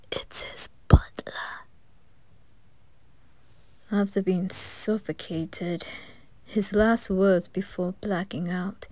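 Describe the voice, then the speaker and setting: A woman voicing an anxious young noble boy in a fan audio roleplay, speaking in a hushed, stammering whisper. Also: mid-range voice, husky, no echo. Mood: worried.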